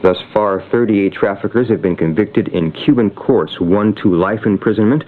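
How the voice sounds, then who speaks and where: A narrow, tinny shortwave radio signal carries a man with a fairly low voice. He reads the news from a script in a formal, measured delivery. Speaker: radio news bulletin reader. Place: radio studio.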